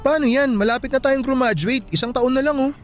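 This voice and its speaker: A man's voice: very high-pitched, brisk, faint music behind. Actor as an easygoing young college man. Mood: concerned. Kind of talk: radio drama acting.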